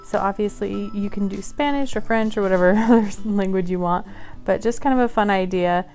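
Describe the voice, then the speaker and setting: A woman's voice: mid-range voice, fairly quick, darkish tone, faint music behind. A woman hosting a casual craft tutorial, talking in a chatty, friendly way and laughing at herself now and then. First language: English; Polish is her second language. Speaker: craft channel host.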